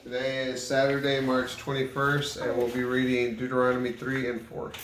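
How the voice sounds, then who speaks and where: A man's voice: mid-range voice, monotone, normal speed, crowd noise. A man reads scripture aloud, stumbling and correcting himself on unfamiliar names. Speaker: Bible reader. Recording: echoey room, distant mic, faint noise.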